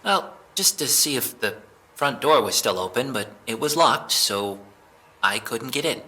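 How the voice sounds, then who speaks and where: A man playing an evasive, jittery young man being questioned by police, halting and defensive, in a naturalistic audio drama. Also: mid-range voice, animated, bright, forceful tone. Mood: nervous explaining.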